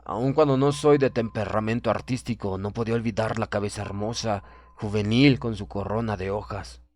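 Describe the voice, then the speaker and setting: A man narrating a horror story in the first person, in a measured, formal, dramatic manner. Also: fairly low pitch, darkish tone. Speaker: audiobook narrator. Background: music.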